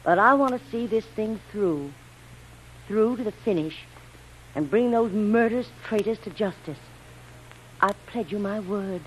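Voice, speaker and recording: An actress playing an earnest, determined young assistant in a vintage radio melodrama, with dramatic, emotive delivery. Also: female voice, medium pitch, a little slow, pauses often, close to an everyday voice. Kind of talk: 1930s-40s radio melodrama acting. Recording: faintly noisy old radio recording.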